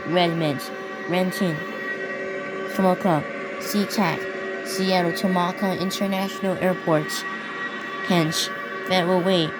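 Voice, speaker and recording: A woman with a fairly low voice acts as an emergency alert announcer. She reads scripted warnings and official notices in the form of a public safety bulletin. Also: poor audio.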